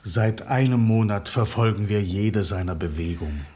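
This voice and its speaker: A man's voice: fairly low pitch, moderate pace, warm tone, roomy sound, natural voice. A man acting the resolute leader of an underground revolutionary cell in a radio play, grave and emphatic.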